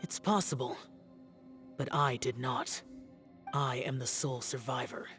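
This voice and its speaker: A man's voice: medium pitch, measured pace, fairly bright tone, natural voice. A solemn immortal demon slayer from an anime game played by a man. His mid-range voice is youthful but grave, clipped and restrained, keeping others at a distance. Faint music sits behind him. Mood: somber, resolute. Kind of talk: anime video game voice acting.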